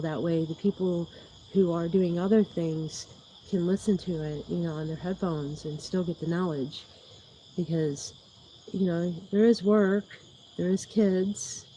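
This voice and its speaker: A woman's voice: fairly low pitch, dark tone, muffled recording, slight background noise. A woman hosting a livestream in casual, rambling chat, with a soothing voice, laughing often and losing her train of thought. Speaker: YouTube livestream host.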